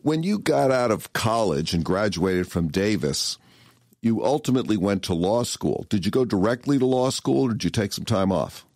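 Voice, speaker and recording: American man, podcast host, very low voice, dry sound, conversational questions. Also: expressive, moderate pace, a little mellow, dry recording. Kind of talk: conversational interview.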